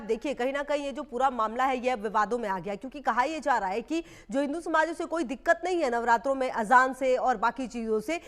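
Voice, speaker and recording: A female news anchor with a high-pitched voice. She speaks in live, conversational broadcast style as she puts questions to a reporter. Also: fairly bright tone.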